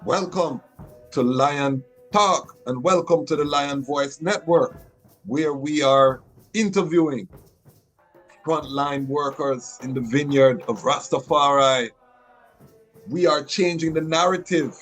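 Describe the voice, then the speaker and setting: A man's voice: on the high side, slow pace, soft background music, low-quality recording. A middle-aged man hosting a podcast interview, speaking with an emphatic rhythm and frequent restarts. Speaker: podcast host.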